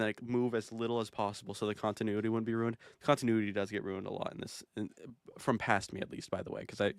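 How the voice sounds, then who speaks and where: A man's voice: on the low side, creaky, dry recording, studio quality. A man casually commenting on his own music video, rambling and self-amused with plenty of fillers. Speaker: video creator.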